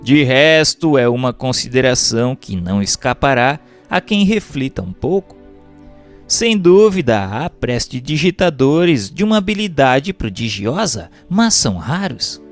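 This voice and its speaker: A man reading a book aloud in a formal, measured, rhetorical manner, like scripted audiobook narration. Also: medium pitch, sing-song, soft background music.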